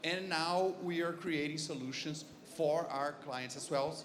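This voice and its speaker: A man's voice: on the high side, unhurried. A man with a Brazilian accent speaking professionally as a panelist at a conference. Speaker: panelist, marketing company owner. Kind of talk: professional self-introduction on a panel. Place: stage at a conference. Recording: audible crowd in event hall.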